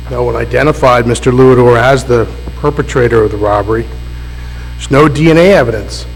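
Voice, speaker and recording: Man with a cold arguing in court. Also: medium pitch. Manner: formal, deliberate, with some restarts under questioning. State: has a cold. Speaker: defense attorney. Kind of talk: appellate oral argument. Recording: roomy courtroom with faint mains hum.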